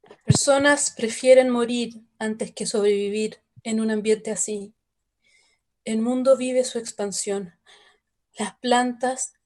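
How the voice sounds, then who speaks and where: An actress playing a radio announcer who reports an attack from an emergency station, then narrates calmly. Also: female voice, a little high, lightly acted. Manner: measured, solemn reporting. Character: female emergency news announcer, shaken but composed. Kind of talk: radio drama acting.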